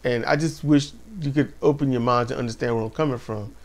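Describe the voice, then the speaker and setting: A man co-hosting a casual wrestling talk show, animated and laughing often, speaking to viewers as y'all. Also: mid-range voice, brisk. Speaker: podcast co-host. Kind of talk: casual chat about wrestling.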